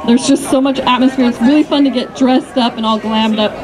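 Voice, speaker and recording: A woman from Santa Clarita answers as a pinup contestant, chatty and listing things with small pauses, her voice pitched high. Crowd chatter from the outdoor car show hangs behind her. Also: fairly even intonation, poor audio. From Santa Clarita, California. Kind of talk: casual on-stage answers.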